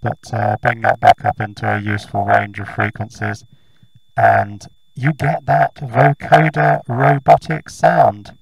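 A man's voice: lowish voice, animated, a little slow, vocal fry, husky, dry recording, soft background music. A British man giving a casual, hesitant demonstration through a robotic vocoder that turns his voice into buzzing tones. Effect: robotic vocoder, voice modulating buzzing tones and noise. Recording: noisy, humming vocoder output.

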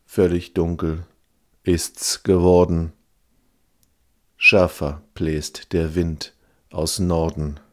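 Very slow, deep-voiced man reciting poetry. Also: little pitch movement. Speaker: poetry reader.

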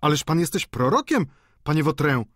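A man's voice: fairly high pitch, big pitch swings, normal speed, no room sound, studio quality, pitched above the performer's own voice. A man voicing a fussy, talkative middle-aged widowed landlady in an audiobook, exclaiming and gossiping. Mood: gossipy, excited.

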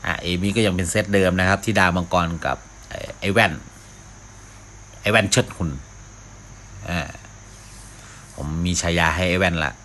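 A man hosts a gaming video with casual, off-the-cuff commentary. His voice is deep with a forceful, bright edge, and he mutters to himself as he plays. There is steady noise behind him. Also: normal speed, long pauses. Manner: mouths sound effects, talks to himself while playing. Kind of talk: casual live gameplay commentary.

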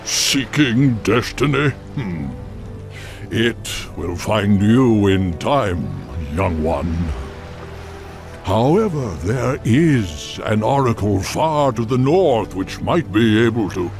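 Big old minotaur chief for a video game, proud n solemn, slow talker, faint music behind. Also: male voice, on the low side, lively intonation, gravelly, darkish tone, heavily acted. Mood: measured, intrigued. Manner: slow, solemn, formal speech. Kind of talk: fantasy video game acting.

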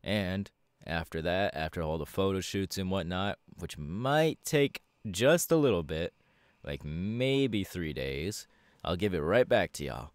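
Casting: male actor for cocky, easygoing young winged hero, low register, very animated intonation, slangy and hesitant, audio roleplay. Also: dry recording. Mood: musing, easygoing. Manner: casual, hesitant pauses, slangy. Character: laid-back, cocky young male winged superhero and model. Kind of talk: ASMR audio roleplay, casual conversational acting.

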